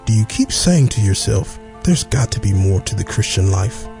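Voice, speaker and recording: A man reading a scripted radio promo in a measured, inviting way. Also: on the low side, big pitch swings, a little mellow, faint music behind.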